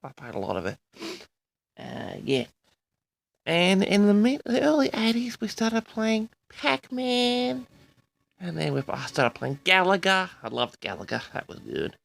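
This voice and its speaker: A man in his sixties from Australia hosting a personal audio log, reminiscing in a rambling, halting and boastful way straight to his listeners. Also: high-pitched, wildly swooping pitch, vocal fry, a bit bright. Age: 60s. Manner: boastful, halting, often addresses the audience.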